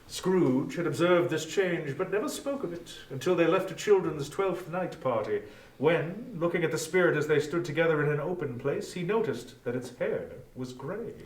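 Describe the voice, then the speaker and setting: A man narrating a literary story theatrically, with deliberate phrasing and weight on each listed word. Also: fairly high pitch, lively intonation. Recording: echoey room, distant from mic.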